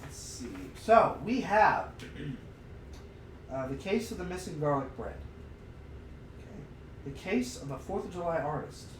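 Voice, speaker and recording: A man's voice: fairly high pitch, lively intonation, measured pace, pauses often. A man co-hosting a podcast, chatting casually and reading aloud from a book, sometimes stumbling over the words. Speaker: podcast co-host. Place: untreated room, podcast recorded on a live stream. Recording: roomy, distant mic with noticeable noise.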